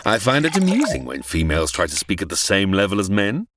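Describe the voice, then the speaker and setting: Wildly theatrical, gloating mad-scientist villain. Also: male voice, sits low, wildly swooping pitch, quick pace, music in the background. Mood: smug, condescending. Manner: theatrical, condescending, formal. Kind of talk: old-time radio melodrama parody acting.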